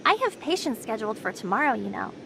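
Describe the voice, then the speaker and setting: An impatient female doctor from an anime game. Her voice is high and animated, curt and scolding, with clear noise behind it. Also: fast talker.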